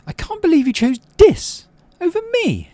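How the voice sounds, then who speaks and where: A man's voice: very high voice, huge pitch swings, moderate pace, mellow, no room sound, pitched above the performer's own voice, heavily acted. A man voicing a haughty, indignant young girl from a story game, with a scornful manner.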